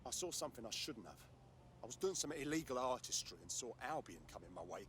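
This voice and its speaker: A man's voice: medium pitch, sing-song, fast talker, a bit bright, a little hiss or hum. Nervous, swooping-voiced male street artist. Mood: anxious, pleading. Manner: nervous, colloquial, pleading. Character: frightened male street artist who witnessed violence. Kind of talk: dramatic video game acting.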